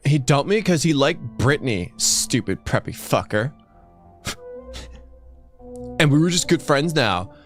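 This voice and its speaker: A man co-hosting a livestream, casually joking and teasing as he reacts and reads along. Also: mid-range voice. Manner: teasing interjections. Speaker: livestream co-host.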